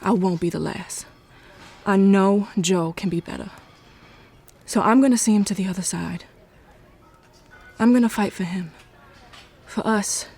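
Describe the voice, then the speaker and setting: A woman's voice: medium pitch, fairly even intonation, measured pace, long pauses, darkish tone, husky. A woman acting a pregnant young wife in an audio drama, loyal and stubbornly hopeful. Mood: resolute, reassuring.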